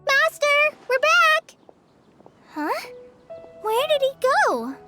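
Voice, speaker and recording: A woman voicing a tiny, excitable, naive and eager fairy companion in a video game. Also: very high voice, very slow, loud music behind the voice, exaggerated voice. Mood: excited.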